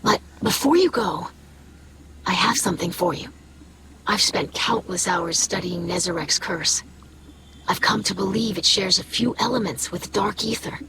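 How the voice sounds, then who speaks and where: An actress playing an earnest, friendly scholar ally in a video game, articulate in delivery. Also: female voice, medium pitch, normal speed, background noise, lightly acted. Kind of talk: video game character dialogue.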